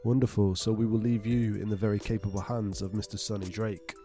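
Mellow, low-voiced man over music. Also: flat delivery, fairly quick. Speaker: podcast co-host. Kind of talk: casual podcast announcements.

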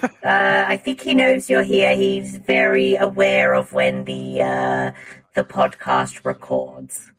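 A prim robot nanny with a very deep voice. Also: a bit bright, heavily acted. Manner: halting, proper, faux-British. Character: prim female robot nanny from a cyborg planet. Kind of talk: comic bit on a podcast.

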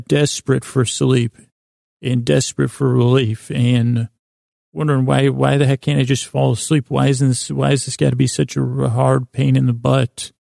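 Male sleep podcast host: heavy vocal fry, flat intonation, halting soothing rambles full of word stumbles. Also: medium pitch, dry recording, studio quality. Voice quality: creaky. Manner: meandering, halting, stumbles over words. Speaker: podcast host and bedtime storyteller.

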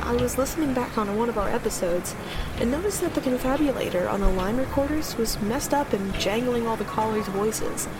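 Female, fairly high, acting as an easygoing late-night radio host, informal, loud music bed. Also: natural voice, low-quality recording. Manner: chatty, informal. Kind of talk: audio drama, casual radio chat.

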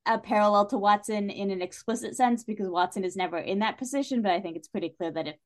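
A woman's voice: medium pitch, fast. A woman from New England with an American accent, talking casually and emphatically in a literary discussion, with a sardonic edge. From New England, United States. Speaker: podcast guest.

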